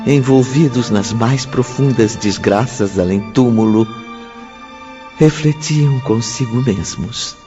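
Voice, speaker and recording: A Brazilian man narrating a radio drama in a solemn, literary style with deliberate phrasing. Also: medium pitch. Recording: muffled, loud music behind.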